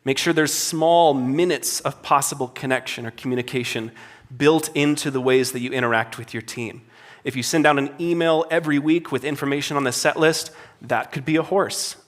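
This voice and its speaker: A man with a slightly raspy voice speaks at a conference in a hall full of people. He talks to worship leaders in an earnest, conversational way, and he repeats his phrases for emphasis. His pitch sits in a plain middle range. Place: conference hall before a live audience.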